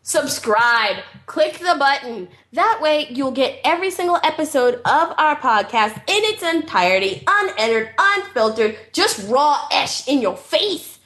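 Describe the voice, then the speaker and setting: A woman co-hosting a podcast, chatting casually and excitedly, swearing freely. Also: high-pitched, unhurried, hardly any pauses. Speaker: podcast co-host.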